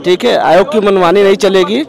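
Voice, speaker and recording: A hoarse male protester strains to get a few words out through a sore throat. His voice is fairly high, and a crowd is clearly heard around him. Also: steady tone, brisk. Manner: brief, strained replies. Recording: crowd noise outdoors.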